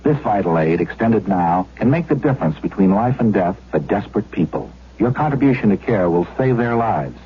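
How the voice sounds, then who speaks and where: A man's voice: on the low side, animated, brisk, few pauses, slightly rough. A man reading a scripted charity appeal on the radio as an executive of the organisation. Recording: thin phone-like vintage radio recording.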